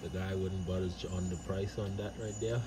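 A very low-voiced man hosts a casual reselling vlog. He talks in an easygoing, slangy way. The recording is outdoors on a phone, with loud insect and vehicle noise. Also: little pitch movement, moderate pace. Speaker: vlog host, flea market reseller. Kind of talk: casual vlog chat and narration. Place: outdoors at flea market and porch.

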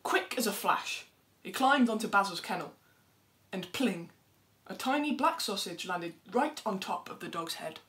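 Young adult woman, children's storyteller, expressive read-aloud with very animated intonation. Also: medium pitch, measured pace. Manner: expressive, acts out dialogue. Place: plain indoor room at home.

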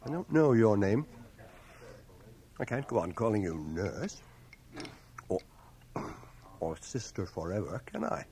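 An actor playing a modest, stubborn, self-deprecating young wounded soldier in a radio drama. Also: male voice, on the low side, lively intonation, takes it slowly, long pauses, mellow. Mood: awkward, curious. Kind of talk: 1980 radio drama acting. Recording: noisy home cassette transfer.

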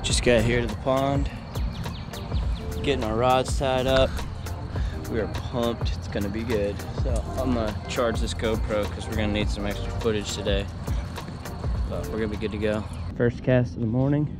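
A young man vlogging casually while fishing outdoors at a pond, talking to viewers with excitement over each catch. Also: lowish voice, moderate pace, over loud music. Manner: excited, upbeat, talks to viewers as y'all. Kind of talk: casual outdoor vlog narration. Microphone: action camera on chest or in hand.

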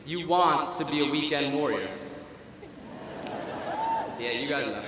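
A young man giving a humorous student commencement speech at a podium, wry and self-deprecating, with pauses for laughs. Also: fairly high pitch, animated, heavy background noise. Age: young adult. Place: large auditorium stage at a podium. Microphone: podium microphone. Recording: echoey hall through a PA system. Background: audience laughter and hall ambience.

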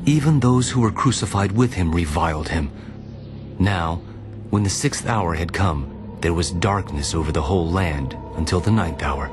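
Very deep-voiced male scripture narrator. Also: moderate pace. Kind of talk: solemn dramatized scripture narration. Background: music.

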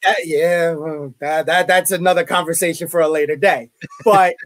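Picture a man from Brooklyn with a thick New York accent, chatting as a guest on a video call from his home. He rambles along with plenty of restarts and "you know"s, and his voice sits a bit high for a man. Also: steady tone, normal speed, fairly bright tone. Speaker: interview guest, college media relations director. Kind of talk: casual interview chat. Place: home room, indoors.

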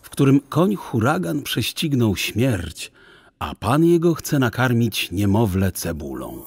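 Slow-paced man telling a children's story. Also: medium pitch, very animated, vocal fry, darkish tone, breathy edge. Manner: theatrical, deliberate phrasing. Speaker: audiobook narrator. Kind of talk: expressive literary storytelling for children.

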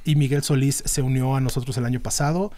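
Middle-aged mexican guy, regular pitch, rambling explainer w lots of fillers, studio podcast mic. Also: steady tone, quick pace, warm tone. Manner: explains at length with many fillers and restarts. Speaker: band manager, podcast guest. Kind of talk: casual interview talk. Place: small dim podcast studio. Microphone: studio mic on a boom arm.

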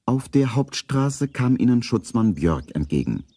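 A man narrating a children's story in a calm, scripted 1970s radio play style. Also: medium pitch, a little slow, breathy edge, no echo, clean studio sound.

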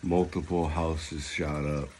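Male, very deep and monotone, brief announcements, faint noise behind. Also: takes it slowly.

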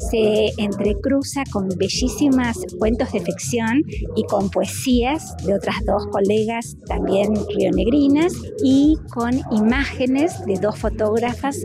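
A middle-aged woman from Argentine Patagonia, an author explaining her book in an interview soundbite at a busy book fair. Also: on the high side. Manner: explanatory, storytelling. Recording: news package with music under. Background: music bed.

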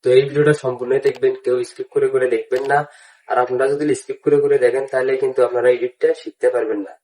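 A man's voice: medium pitch, flat delivery, very fast, dark tone, poor audio. A man hosting a casual step-by-step app tutorial, with repetitive, instructional phrasing. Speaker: tutorial host.

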